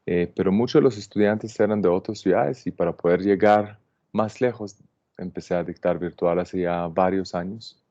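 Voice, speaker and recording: A man in his forties from Israel with an Israeli accent, giving a calm, halting introduction to an online plant workshop, with many fillers. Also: sits low, no echo. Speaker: landscape designer teaching a plant workshop. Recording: dull, dry computer-mic sound.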